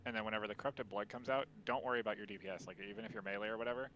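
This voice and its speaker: Deep-voiced man explaining raid tactics quietly. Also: fairly even intonation, rapid-fire, a little hiss or hum, low-quality recording. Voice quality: deep voice, speaks quietly on purpose. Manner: explaining, loose with many fillers. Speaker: streamer leading a raid strategy talk. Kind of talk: casual gaming voice chat.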